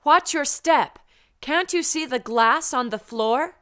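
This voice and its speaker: A woman's voice: sits high, lively intonation, takes it slowly, pushed and bright. A woman reading short practice sentences for language learners, carefully enunciated. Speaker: language teacher. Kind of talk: slow drill sentences for learners.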